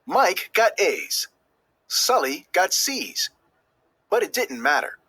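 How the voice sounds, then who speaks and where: A man narrates a children's storybook in a fairly high, very animated voice with a slightly husky edge. His delivery is expressive and emphatic, leaning on key words as he tells the tale. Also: slow pace, dry recording. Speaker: storybook reader. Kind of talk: scripted children's read-aloud narration.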